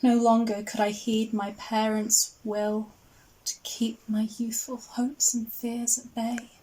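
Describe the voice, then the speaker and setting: A woman playing a proud, isolated ice queen in a solemn, measured theatrical monologue in verse. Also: a little high, flat delivery, mellow, low-quality recording.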